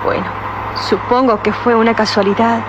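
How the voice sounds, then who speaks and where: An actress playing a frightened young heiress in a radio drama, flustered and defensive. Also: female voice, a little high, steady tone, normal speed, natural voice. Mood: worried. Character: frightened young rich heiress, nervous and indignant. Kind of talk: 1960s radio drama acting. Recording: noisy old radio drama recording.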